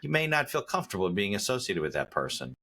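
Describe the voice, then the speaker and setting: A man's voice: fairly low pitch, big pitch swings, fast talker, sharp tone. A man hosting a podcast interview, chatting casually and often chiming in with short affirmations. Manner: chimes in with short affirmations, finishes guest's sentences. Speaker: podcast host.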